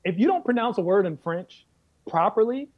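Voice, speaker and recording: An American man telling comic stories in casual conversation, animated and emphatic, repeating phrases for effect. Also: high voice, normal speed, phone-quality sound. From United States. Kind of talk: comedic storytelling in casual chat.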